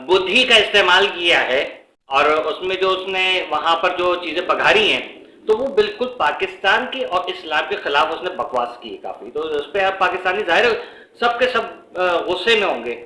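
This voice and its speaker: A Pakistani man with an Urdu accent speaks in a fairly high, bright voice. He talks haltingly with many fillers and drops in English words now and then. The room rings with echo. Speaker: vlogger. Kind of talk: casual commentary vlog.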